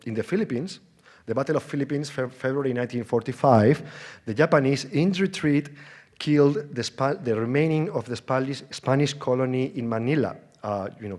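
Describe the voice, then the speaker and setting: A man speaks as an academic presenter at a conference, in a medium-pitched voice. His delivery is halting, often restarting and repeating words. He explains things informally, with conversational asides and rhetorical questions. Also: a little mellow. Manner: halting, with stammered false starts and repeated words. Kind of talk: conference lecture.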